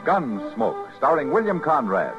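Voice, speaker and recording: A man's voice: mid-range voice, big pitch swings, unhurried. An American man announcing a 1950s radio western in a formal, dramatic scripted style. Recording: muffled old radio recording, loud music. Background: loud theme music.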